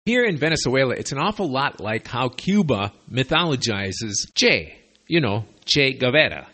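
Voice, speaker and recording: A man's voice: medium pitch, sing-song, moderate pace, bright, forceful tone. Sardonic man with a slight lisp. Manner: sardonic, digressive, conversational. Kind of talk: opinionated spoken commentary. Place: away from his usual studio. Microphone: makeshift borrowed equipment.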